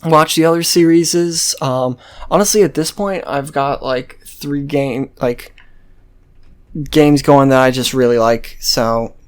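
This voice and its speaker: A man's voice: medium pitch, slow, clean tone. A man hosting a gaming video with casual, unscripted commentary, rambling along and swearing freely.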